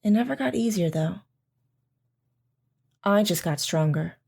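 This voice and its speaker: A woman playing a devoted military wife in an audio drama, gently and wistfully recalling life with her husband. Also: fairly low pitch, expressive, unhurried, gravelly, husky, heavily acted. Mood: wistful, steadfast. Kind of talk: audio drama acting, reminiscing narration.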